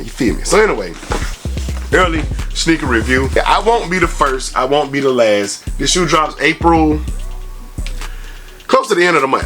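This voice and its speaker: A man's voice: mid-range voice, a bit bright. A man hosting a casual sneaker review vlog, talking in a slangy, conversational way full of tag phrases. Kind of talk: casual product review vlog with shout-outs.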